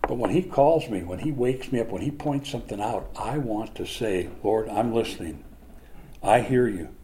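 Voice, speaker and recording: A man preaching a conversational Bible lesson, animated at times and hushed at others. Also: fairly low pitch, moderate pace, slight background noise. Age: older. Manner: animated, swings from loud to hushed.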